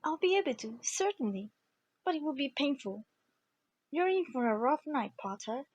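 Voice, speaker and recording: Woman playing a stern, high-voiced school nurse. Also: lively intonation, darkish tone, dry recording. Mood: stern, scolding. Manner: brisk, scolding. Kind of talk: fan audio drama acting.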